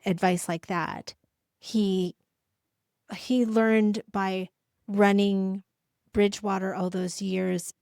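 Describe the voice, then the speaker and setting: A middle-aged woman chatting casually to camera, stopping and restarting her sentences as she thinks aloud. Her voice sits at an ordinary pitch and sounds close and dry, with no room around it. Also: darkish tone, no echo. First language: English. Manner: halting, with frequent restarts and fillers. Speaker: coach and channel host.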